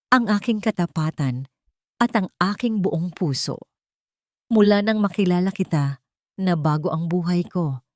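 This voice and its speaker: A woman voicing a devoted groom, solemn, steady and tender, in a radio drama romance story. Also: on the low side, no room sound, studio quality.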